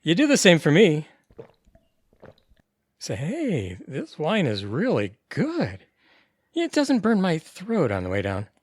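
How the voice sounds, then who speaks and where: A woman's voice: sits low, wildly swooping pitch, slow pace, pauses often, darkish tone, dry recording, full character voice. A woman playing a loyal, laid-back, wine-swigging buddy in a comedic audio drama, casual and droll.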